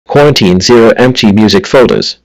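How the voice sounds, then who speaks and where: A male computer assistant voice gives automated status announcements. It is slightly low in pitch and very dry, with no room sound. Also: brisk, no echo, poor audio.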